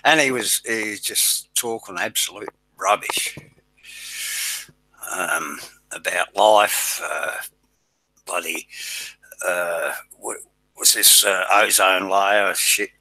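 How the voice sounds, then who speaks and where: A man's voice: deep voice, slow pace, long pauses, pushed and bright, rough recording. A man, hosting a casual live chat in a blunt, mocking way, swearing freely. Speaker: channel host.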